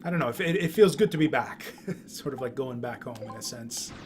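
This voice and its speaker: A man's voice: medium pitch, lively intonation. Man rambling over noisy game stream. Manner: rambling, halting with repeated words. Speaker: streamer playing and commenting on a video game. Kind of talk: casual game commentary. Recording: clear background noise.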